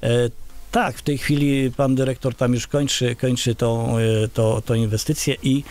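A man's voice: mid-range voice, little pitch movement, normal speed, a little mellow. A middle-aged man from Poland, a local village head, answering interview questions in a radio studio with many filler sounds and restarts. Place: small radio studio, indoors. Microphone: large studio microphone on a boom arm.

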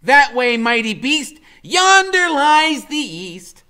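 A man's voice: extremely high pitch, very animated, slow pace, sharp tone, pitched above the performer's own voice, full character voice. A man voicing a small, imaginative boy who talks in grand, old-fashioned knightly phrases. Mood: grandiose.

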